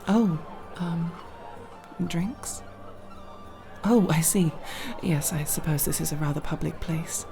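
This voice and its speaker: A woman playing a nervous but proud young elf thief in an ASMR roleplay, speaking in formal, wordy, hesitant phrases. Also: deep voice.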